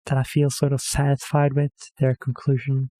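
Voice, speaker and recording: Man, mellow voice, dry sound, hesitant rambling reaction host. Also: medium pitch, monotone, normal speed, no room sound. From Norway. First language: Norwegian. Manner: hesitant, rambling, self-correcting with many fillers. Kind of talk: casual rambling commentary.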